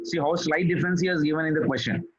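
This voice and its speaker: A man's voice: fairly high pitch, steady tone, racing, slightly rough, muffled recording. A man tutoring physics, patient, repeating key phrases and checking that his student follows. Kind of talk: one-on-one tutoring session.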